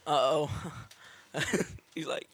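A man's voice: on the high side, little pitch movement, takes it slowly, crowd noise. A man joining a casual studio podcast as a guest, laid-back, joking and swearing.

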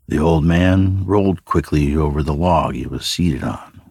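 A man reading scripted dramatic fiction as a narrator, in a measured, brooding manner. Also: a bass voice, a little mellow, breathy edge, dry recording, studio quality. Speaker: story narrator.

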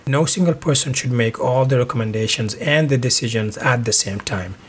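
A middle-aged man from Senegal hosting a podcast, giving earnest relationship advice in a measured way with small restarts. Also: mid-range voice, slight background noise. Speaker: podcast host.

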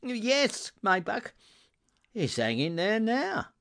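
A man's voice: sits high, big pitch swings, slow pace, gravelly, fairly bright tone, pitched above the performer's own voice, exaggerated voice. A man voicing a giddy, delighted old reformed miser in a storybook reading, effusive and eager. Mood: excited.

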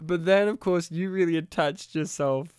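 A man with a high, crisp voice chats casually on a movie podcast. He laughs often and plays out his own jokes. The sound is dry, close and free of room echo. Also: moderate pace, a little mellow, soft background music. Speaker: podcast co-host reviewing a movie. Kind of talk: casual chat.

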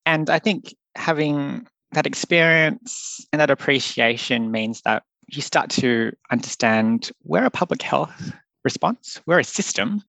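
An earnest young man talks through his ideas with loose, thoughtful phrasing and the odd laugh. His voice sits at a middling pitch. The recording is dry and close, with no room sound. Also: expressive, measured pace, gravelly, pushed and bright, dry recording, rough recording. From Australia. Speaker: public health doctor, guest. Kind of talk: conversational podcast interview.

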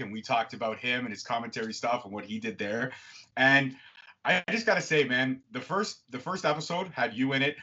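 A young man hosts a casual interview with easy enthusiasm, dropping the odd swear word and gushing over what he liked. His voice sits at an ordinary middle pitch. The audio is slightly dull and lo-fi. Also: sharp tone. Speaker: podcast host.

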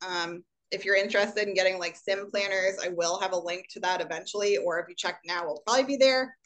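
A woman in her late twenties, a guest on a podcast, chatting casually and relaxed. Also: medium pitch, brisk, low-quality recording. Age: 20s. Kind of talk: casual interview chat.

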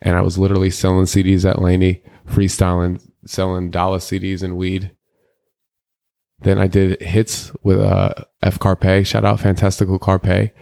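Young guy w a deep gravelly voice, slangy rambling storyteller, interview guest. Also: completely flat delivery, moderate pace, mellow. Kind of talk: casual candid interview talk.

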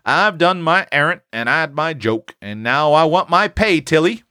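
Young rural American giant, folksy teasing prankster, pitched up and swinging; male reader acting, storybook. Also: lively intonation, normal speed, pushed and bright, no room sound, clean studio sound. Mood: teasing, bold. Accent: rural American dialect.

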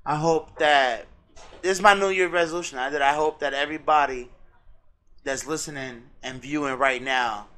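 An American man hosting a podcast in casual, slangy, excitable banter with his co-host, swearing freely. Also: mid-range voice.

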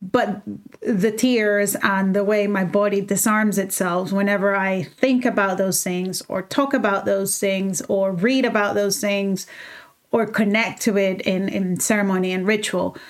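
A woman's voice: mid-range voice, fairly even intonation, measured pace. A woman, speaking as a guest in a reflective conversation, earnest and heartfelt, often restarting her sentences. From El Salvador. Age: 30s. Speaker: guest, fashion designer.

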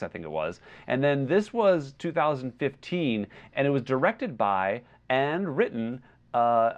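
A man co-hosting a podcast in casual review chat, halting and often backtracking as he explains. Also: medium pitch. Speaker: podcast co-host. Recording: dull lo-fi replacement microphone.

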